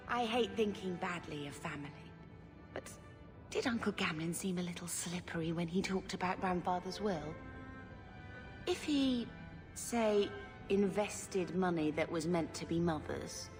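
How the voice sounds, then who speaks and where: An actress playing an earnest young sister. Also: female voice, lowish voice, a little slow, natural voice. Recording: game audio with music, reverb.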